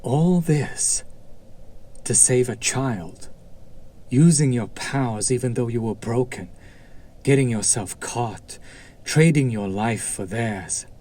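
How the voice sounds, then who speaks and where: A man playing a smug, seductive demon in a roleplay audio drama, coaxing and condescending with deliberate pauses and a teasing menace. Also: medium pitch, warm tone. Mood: scornful, intrigued.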